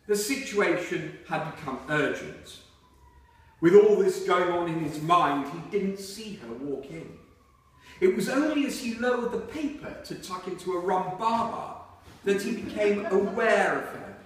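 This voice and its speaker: A man reading aloud from a novel to listeners in a measured storytelling way. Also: high-pitched, fairly bright tone. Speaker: reader at a book reading. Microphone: distant microphone. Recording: very echoey hall, far from microphone.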